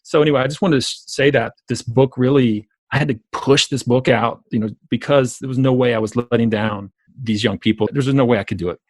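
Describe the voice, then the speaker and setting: A man's voice: medium pitch, steady tone, fairly quick, few pauses, no echo. A man with a Southern US accent, a business coach talking as a podcast guest in emphatic, repetitive run-on sentences over a remote call. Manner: emphatic, repetitive, talks in long run-on stretches. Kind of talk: conversational interview.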